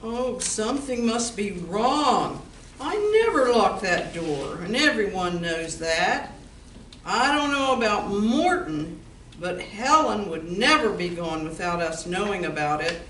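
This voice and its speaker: A worried, take-charge female bar owner with a sharp, unhurried voice, acted by a woman in an amateur play. She projects her lines deliberately from a distance in a roomy space. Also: medium pitch, expressive. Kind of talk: amateur community theatre reading. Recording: roomy, distant mic with background noise.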